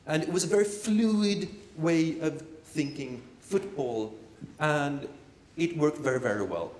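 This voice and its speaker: Guy doing a rambling performance lecture, lots of ums and repeats, higher voice, echoey room. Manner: rambling, many fillers and repeated words; playful asides to the audience. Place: lecture room at an art academy.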